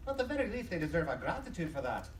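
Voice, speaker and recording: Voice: medium pitch, very animated, fast talker, slight background noise, lightly acted. An actor playing a polite, nervous, earnest man collecting for charity in a live audio drama, eager and pleading. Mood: indignant pleading. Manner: hesitant starts, eager, pleading. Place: convention live show stage. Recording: echoey live stage, distant mic.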